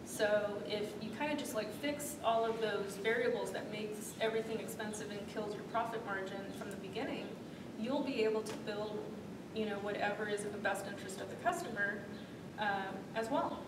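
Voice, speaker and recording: A woman's voice: medium pitch, moderate pace, noisy background. A woman in her thirties, a startup founder, answering interview questions in an office meeting room with many fillers and restarts. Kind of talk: onstage interview. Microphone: far room camera. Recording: echoey room audio from far off.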